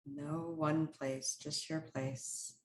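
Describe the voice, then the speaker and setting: A woman leading a guided meditation, speaking in short, soothing, instructional phrases with unhurried pauses. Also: low voice, flat delivery, darkish tone, poor audio.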